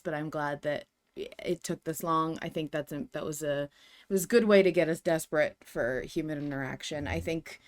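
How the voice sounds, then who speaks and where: Female podcast co-host, slightly low voice, casual conversational fan talk.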